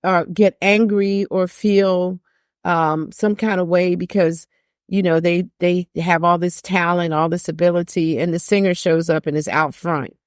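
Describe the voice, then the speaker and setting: An older woman with a low, deep speaking voice talks frankly as a guest on a podcast. She drives her points home by repeating phrases. The sound is close and dry. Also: fairly even intonation, unhurried, clear, resonant tone, dry recording. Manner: emphatic, repeats phrases for stress. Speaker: jazz singer, guest. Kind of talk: candid podcast conversation.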